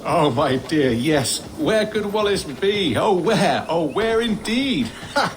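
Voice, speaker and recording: A whimsical spirit elk speaks in a slightly high, very animated voice. The guardian is breezy, teasing and a little uncanny, toying with rhetorical questions in a sing-song way. It is video game acting, with clear ambient noise around it. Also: male voice, slow pace. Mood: mock pondering.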